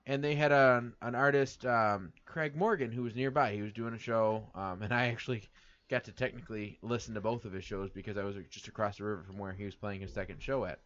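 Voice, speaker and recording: A man's voice: lowish voice, fairly even intonation, fairly quick, fairly bright tone. American guy rambling on a podcast, lots of ums, kinda muffled. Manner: rambling, repeats words, many fillers. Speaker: podcast co-host. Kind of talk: casual chat. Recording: dull lo-fi sound.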